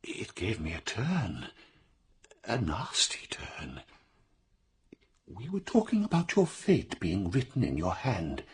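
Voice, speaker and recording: Casting: a downtrodden, troubled veteran in radio drama, very husky, halting, swooping in pitch. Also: male voice, huge pitch swings, exaggerated voice. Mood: haunted, wistful. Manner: hesitant, halting, stammering repeats. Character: troubled, timid, downtrodden war veteran accused of murder.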